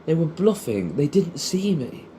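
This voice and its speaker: A man's voice: on the high side, very animated, unhurried, warm tone, slight background noise. A young English man talks casually and intimately to an old friend, rambling nostalgically, swearing freely and tacking questions onto his statements. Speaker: audio creator.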